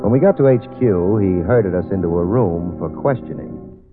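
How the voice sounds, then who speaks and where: A man's voice: low-pitched, lively intonation, normal speed. An actor playing a wry, wisecracking male private detective who narrates an old radio drama. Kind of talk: 1940s radio acting. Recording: tinny old radio broadcast with music.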